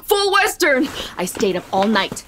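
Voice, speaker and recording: An actress playing an anxious, eager, neurotic overachiever with excitable, emphatic delivery in a comedic audio play. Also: female voice, high voice, measured pace, noisy background. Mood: nervously eager.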